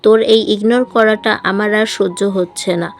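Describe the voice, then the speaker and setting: Woman storyteller playing lovelorn young woman, pleading, reproachful, mid pitch, story voice-over with music. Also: fairly even intonation, moderate pace, natural voice. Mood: hurt, resigned. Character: heartbroken, longing young woman in love.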